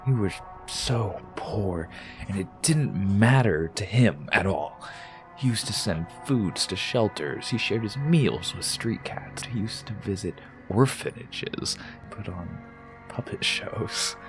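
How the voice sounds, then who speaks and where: An actor playing a brooding, wounded rebel killer in an audio drama, persuasive and menacing, intense and often dropping toward a whisper. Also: male voice, on the low side, animated, slow, over music. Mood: grieving, bitter.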